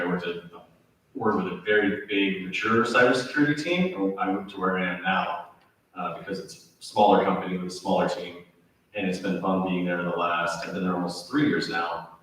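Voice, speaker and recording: A man's voice: sits low, fairly bright tone. A man working as a cybersecurity analyst, introducing himself on a panel in a plain, conversational way. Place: lecture theatre. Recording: echoey room from far off.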